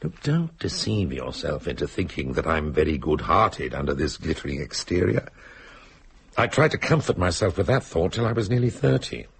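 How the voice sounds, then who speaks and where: Deep, glib English cynic. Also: male voice, animated, normal speed, vocal fry, slight background noise. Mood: self-mocking, bleak. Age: middle-aged. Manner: theatrical, wry, urbane. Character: glib, cynical, self-mocking English man nearing middle age. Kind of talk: British radio drama acting.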